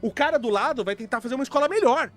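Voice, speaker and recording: A man's voice: extremely high pitch, animated, very fast, a bit bright, soft background music. A man in casual podcast chat, explaining his views bluntly and argumentatively. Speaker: podcast co-host.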